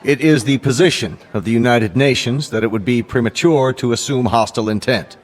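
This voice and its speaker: An actor playing the UN Secretary General, giving formal official statements in a radio drama. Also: male voice, medium pitch, close to an everyday voice. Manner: formal official address, halting at times.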